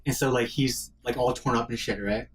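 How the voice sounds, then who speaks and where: Halting, casual man commenting on a game. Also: medium pitch, fairly even intonation. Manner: halting, full of "like", swears freely. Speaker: co-host of a game playthrough.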